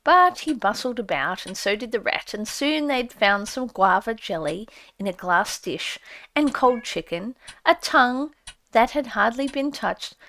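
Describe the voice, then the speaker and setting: A woman's voice: fairly high pitch, expressive, measured pace, a bit bright. Theatrical woman reading a storybook. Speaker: audiobook narrator. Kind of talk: dramatic audiobook reading.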